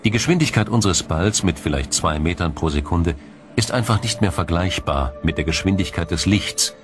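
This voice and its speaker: A man reading a scripted science documentary in a measured, formal, explanatory manner. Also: deep voice, animated, over music. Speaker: documentary narrator.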